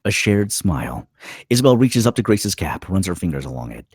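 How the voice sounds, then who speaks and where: A man's voice: low-pitched, sing-song, a little fast, darkish tone, slightly rough, dry recording, clean studio sound. A man reading stage directions as the narrator of a screenplay table read.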